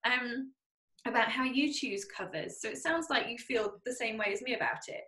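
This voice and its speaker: A woman's voice: on the high side, moderate pace, bright, forceful tone. A young woman hosting a podcast, chatty, with many ums and asks for agreement. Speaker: podcast host. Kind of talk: casual interview chat. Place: small home studio. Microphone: studio condenser mic. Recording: roomy echo.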